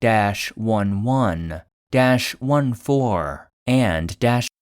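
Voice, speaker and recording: A man narrating a scripted fictional case file for a podcast in a measured, serious, clinical manner. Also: fairly low pitch, animated, no echo, studio quality.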